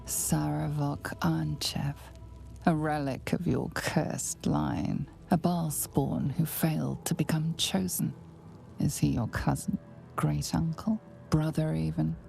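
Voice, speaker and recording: Wry female adventurer, low dark voice, heavy creak, cool, measured, fantasy game, faint music. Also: fairly even intonation, heavily acted. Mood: cool, probing. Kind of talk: dramatic fantasy game acting.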